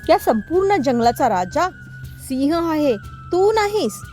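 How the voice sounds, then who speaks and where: A woman's voice: high voice, big pitch swings, a little slow, a little mellow, faint music behind, pitched above the performer's own voice. A woman voicing a defiant mother goat in a children's cartoon story, indignant and outspoken.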